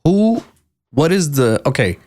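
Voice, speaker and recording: A man's voice: a little high, very animated, a little slow, darkish tone, dry recording, clean studio sound. A man co-hosting a casual podcast chat who asks hesitant questions and restarts his sentences. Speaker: podcast co-host.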